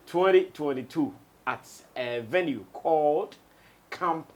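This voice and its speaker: A man's voice: a little high, takes it slowly. Ghanaian man giving entertainment commentary. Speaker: studio pundit on entertainment show.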